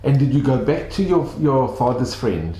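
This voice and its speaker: Man, interviewer, gentle prompting questions, mellow voice, roomy echo. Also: mid-range voice, very animated, normal speed. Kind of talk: conversational interview.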